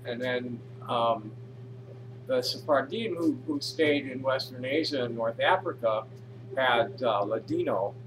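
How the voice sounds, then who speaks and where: A man's voice: mid-range voice, unhurried, noisy background. A man casually reading posts aloud and commenting on them, rambling with frequent restarts and fillers. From North America. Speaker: reader of social media posts.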